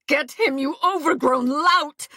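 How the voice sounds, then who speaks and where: A woman voicing a tearful, scheming young mistress, loud, shrill and demanding. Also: animated, unhurried, no room sound, clean studio sound, heavily acted. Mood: frantic.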